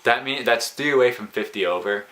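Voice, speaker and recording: A young man as a guest in a casual chat, explaining himself earnestly and haltingly with self-corrections. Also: medium pitch, a bit bright. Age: young adult. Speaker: guest, host's friend.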